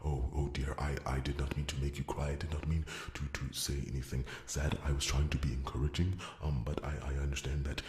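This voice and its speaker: A man playing a timid, devoted blacksmith in an ASMR fantasy roleplay, speaking formally and haltingly, often slipping into a breathy whisper. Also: rumbling low, flat delivery, darkish tone, echoey room. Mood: tearful, flustered. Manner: halting, stammering, formal and deferential. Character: timid, devoted male blacksmith, earnest and easily flustered. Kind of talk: ASMR roleplay, soft-spoken dramatic monologue.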